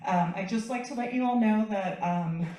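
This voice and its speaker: A woman's voice: mid-range voice, normal speed, warm tone. A woman moderator running the question session and making announcements to the audience. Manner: friendly, organizing announcements. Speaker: event moderator. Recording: distant voice in a very echoey hall.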